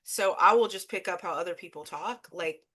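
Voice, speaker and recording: A woman from Louisiana with a Louisiana accent, chatting casually as co-host, animated and laughing often, with plenty of swearing. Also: fairly low pitch, a little fast. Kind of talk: casual chat.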